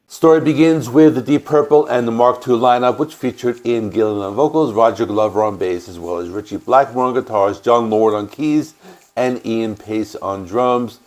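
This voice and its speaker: A man with a stuffy cold, hosting a music channel and calmly explaining an album's history in a casual, matter-of-fact way. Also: mid-range voice, moderate pace. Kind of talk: casual record review and band history.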